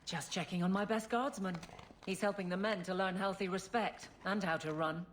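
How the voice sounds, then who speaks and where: An actress playing a gruff, dutiful guard captain in a fantasy video game. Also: female voice, mid-range voice, steady tone, normal speed, a little hiss or hum, natural voice. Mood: fond, wry.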